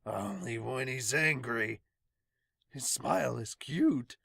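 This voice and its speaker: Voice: mid-range voice, takes it slowly, vocal fry, a bit bright, husky, dry recording, studio quality, pitched below the performer's own voice, full character voice. A podcaster voicing blunt, bickering pirate warriors in an amateur manga dub, grumbling and teasing. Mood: muttering.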